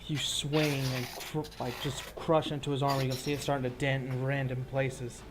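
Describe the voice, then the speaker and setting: A man's voice: medium pitch, little pitch movement, normal speed, heavy background noise. A man narrating a tabletop roleplaying game as game master, telling the action in a casual, descriptive way.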